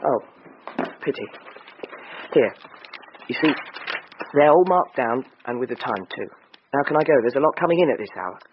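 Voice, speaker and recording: An actor playing an earnest, pedantic railway enthusiast in a radio drama, a man with a breathy voice and a slightly anxious manner. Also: mid-range voice, animated, moderate pace, long pauses, muffled recording, a little hiss or hum, lightly acted. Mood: nervous, earnest. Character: fussy, literal-minded, earnest male railway enthusiast.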